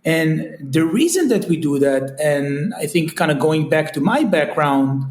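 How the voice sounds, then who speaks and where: An Israeli-accented man talks loosely through a podcast interview, his voice sitting a little higher than most men's. He gropes for phrasing and fills gaps with "kinda" and "you know". The sound is roomy and echoey. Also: big pitch swings, measured pace, darkish tone, slight background noise. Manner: searching, heavy on fillers like "kinda" and "you know". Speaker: guest, retail company CEO. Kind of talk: casual interview chat.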